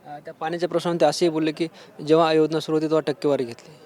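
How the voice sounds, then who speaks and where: A man's voice: a little high, little pitch movement, rapid-fire, a little mellow. A man with a Maharashtrian Marathi accent putting questions as a TV news reporter. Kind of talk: interview questioning.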